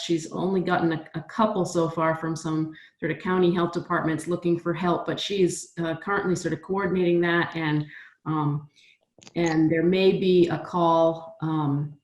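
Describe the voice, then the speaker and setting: A woman's voice: lowish voice, a little mellow. A woman speaking at an online town hall, making an informal announcement with many hesitations and fillers. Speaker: faculty member at a town hall. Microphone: video call.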